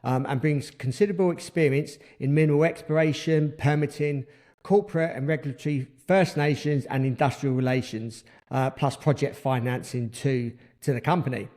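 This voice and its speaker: A man's voice: mid-range voice, normal speed, darkish tone. A man hosting a podcast interview, asking questions in a conversational, slightly hesitant way. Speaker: podcast host.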